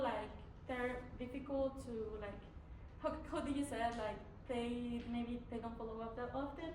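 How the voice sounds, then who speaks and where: A woman's voice: high-pitched, a little hiss or hum. A young woman from Mexico, a native Spanish speaker with a Mexican accent, answering a panel's questions as a student candidate, hesitant with many fillers and restarts. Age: young adult. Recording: echoey room, from far off.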